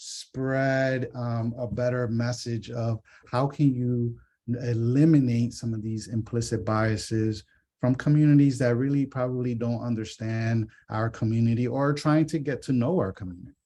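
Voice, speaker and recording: A man's voice: medium pitch, fairly even intonation, moderate pace, mellow. A man speaking casually on a panel over a video call, hesitant and full of fillers. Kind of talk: conversational panel talk.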